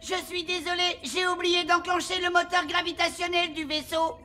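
A tiny alien guide with an extremely high voice. The creature is polite and eager, explaining things for a children's cartoon, with music playing behind. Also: female voice, level tone, moderate pace. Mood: polite, helpful. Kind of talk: animated children's cartoon dub. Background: loud music.

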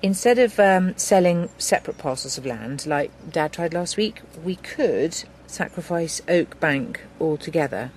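An actor playing a hesitant, conciliatory man with an English accent in a naturalistic radio drama, halting and tentative. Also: fairly high pitch, animated, a little hiss or hum. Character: hesitant, conciliatory farming son negotiating with his sister.

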